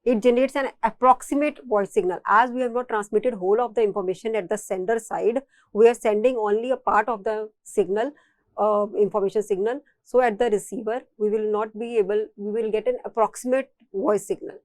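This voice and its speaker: A woman's voice: mid-range voice, steady tone, a little fast, darkish tone, no room sound. A woman with an Indian accent giving a technical engineering lecture, explaining step by step and often repeating and rephrasing her phrases.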